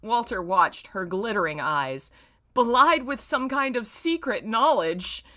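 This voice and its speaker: A woman's voice: on the high side, lively intonation, measured pace, pushed and bright. A woman reading fan fiction aloud in a dramatic way, breaking off for casual, self-mocking asides.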